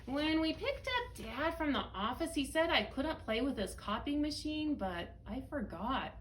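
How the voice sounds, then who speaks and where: A woman's voice: high voice, big pitch swings, a little slow. A middle-aged American woman, a school principal, reading a storybook aloud expressively for children. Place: indoors, small library room with bookshelves. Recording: faint background room noise.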